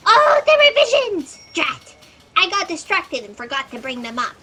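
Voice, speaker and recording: A woman voicing a flustered, literal-minded fact checker creature with fussy, halting delivery in a sci-fi satire audio drama. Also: extremely high pitch, huge pitch swings, pushed and bright, soft background music, exaggerated voice. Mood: excited, flustered. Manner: halting note-to-self speech, fussy, pedantic. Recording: noisy home video-call audio.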